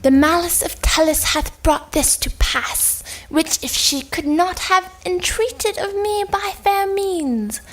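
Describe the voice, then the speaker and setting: A woman's voice: very high voice, takes it slowly, hardly any pauses, rough recording. A woman playing a scheming, menacing old sorceress in a cold read of an Elizabethan stage play. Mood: gloating, ominous.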